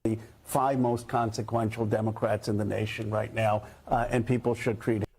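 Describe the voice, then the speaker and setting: Gravelly, husky male commentator. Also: fairly low pitch, level tone, normal speed, a little mellow. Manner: hesitant, with frequent uh fillers. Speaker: political commentator. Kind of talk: news panel commentary.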